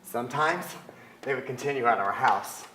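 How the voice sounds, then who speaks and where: A man's voice: medium pitch, moderate pace, bright, forceful tone, slight background noise, natural voice. Middle-aged actor as a yearning sensitive male writer, mid voice, dramatic literary reading, echoey theater. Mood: yearning confession. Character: yearning, sensitive writer confessing boyhood infatuation. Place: large theater stage with audience. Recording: echoey theater stage sound.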